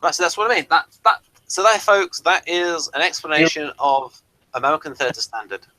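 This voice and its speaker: A man's voice: a little high, animated, moderate pace, sharp tone, no room sound, rough recording. A man hosting a review discussion, talking in a hesitant, digressive way as he explains things. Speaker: host of a TV review show.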